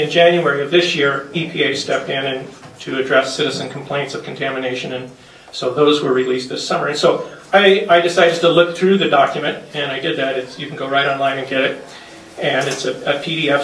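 An older man reads from notes at a podium, earnest and hesitant, with many small fillers. His voice is fairly high for a man. It echoes around a hall and sounds distant, with faint noise behind. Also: a bit bright. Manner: earnest, with frequent ums and uhs while reading notes. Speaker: resident giving public comment. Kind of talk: public hearing statement, partly read. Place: community center hall, indoors. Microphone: podium mic from a distance.

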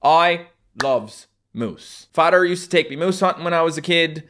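A man's voice: on the high side, moderate pace, a bit bright, a little hiss or hum. A young man with a thick Newfoundland accent talks straight to camera from a small room at home. His manner is playful and self-mocking, full of jokes about how he talks. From Newfoundland, Canada. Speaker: YouTuber. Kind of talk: casual vlog to camera.

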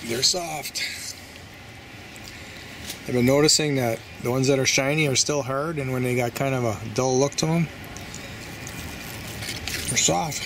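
A man's voice: mid-range voice, steady tone, unhurried, long pauses. A man giving a casual walkthrough of his backyard garden, talking in a rambling, folksy way as he thinks aloud. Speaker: home gardener. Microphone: handheld phone camera. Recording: faint outdoor background noise.

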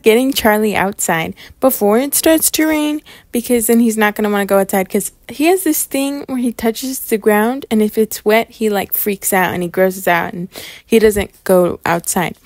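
A woman's voice: medium pitch, normal speed, darkish tone, dry recording, soft background music. A young woman narrating a vlog casually, in a chatty, informal way full of filler words. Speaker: vlogger.

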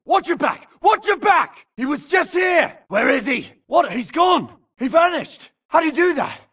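An actor playing an urgent, alert infantry grunt in a video game, shouting clipped military callouts in battle. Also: male voice, very high-pitched, very animated, unhurried, far from the mic, noisy background, heavily acted. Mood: urgent, frustrated. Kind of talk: shouted combat barks.

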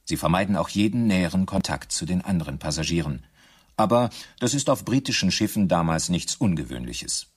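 A man narrating a German radio drama in a measured, matter-of-fact, scripted style. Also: deep voice, animated, husky. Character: sober, knowing male documentary-style narrator.

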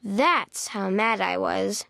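A woman narrating a children's storybook in an expressive, deliberate way, stretching key words. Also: mid-range voice, creaky, no echo.

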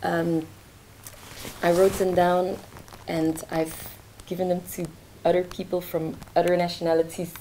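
Young woman artist, slow halting talk with fillers, lowish voice, presenting in a lecture hall. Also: flat delivery, mellow, slight background noise. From Belgium. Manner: hesitant, many fillers, gestures while talking. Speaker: artist presenting her work. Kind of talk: informal talk at a launch event. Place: lecture theatre, indoors.

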